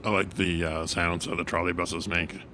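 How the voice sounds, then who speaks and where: A man narrating a casual walking tour of a city street outdoors, halting with many fillers and restarts. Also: sits low, flat delivery. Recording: noisy street traffic behind.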